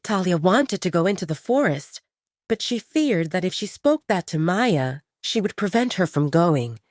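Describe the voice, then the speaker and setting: A woman's voice: fairly low pitch, big pitch swings, normal speed, a little mellow, no room sound, clean studio sound. A woman reading scripted narration as the storyteller of an audio drama.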